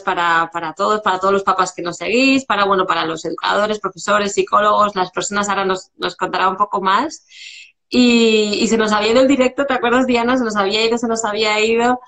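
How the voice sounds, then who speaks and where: A woman's voice: medium pitch, fairly even intonation, quick pace, fairly bright tone. Chatty Spanish woman hosting a live call. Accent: Spanish from Spain. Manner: chatty, many restarts and fillers. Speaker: live stream host. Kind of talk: casual live interview. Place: home room, indoors. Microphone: video call webcam.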